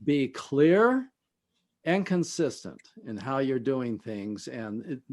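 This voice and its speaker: A man speaking as an expert guest in an interview, explaining in a halting, conversational way and often trailing off at the ends of phrases. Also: mid-range voice, animated.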